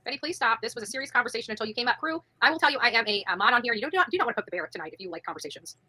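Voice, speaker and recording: A woman's voice: on the high side, animated, rapid-fire, bright, forceful tone. A woman in her forties chatting bluntly and brashly on a livestream panel, laughing often. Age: 40s. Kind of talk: casual livestream chat.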